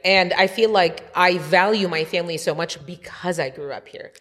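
A woman's voice: lowish voice, normal speed, fairly bright tone. A woman hosting a casual podcast from a friend's home, playful and teasing. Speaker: podcast host. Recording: reverberant room.

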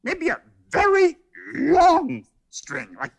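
A woman playing an earnest, worried, outspoken young male listener at story time, husky and emphatic. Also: very high-pitched, wildly swooping pitch, slow, exaggerated voice. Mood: worried, interrupting.

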